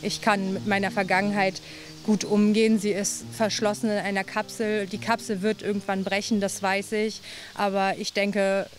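A woman giving calm, measured interview answers with some hesitant fillers. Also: mid-range voice, soft background music. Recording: hissy recording.

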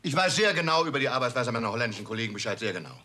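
An actor playing a rough-edged police detective who talks bluntly and sardonically, in a crime drama. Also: male voice, medium pitch, big pitch swings, very fast, pushed and bright, slight background noise. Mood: sardonic. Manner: gruff, blunt, wisecracking. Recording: music under dialogue.

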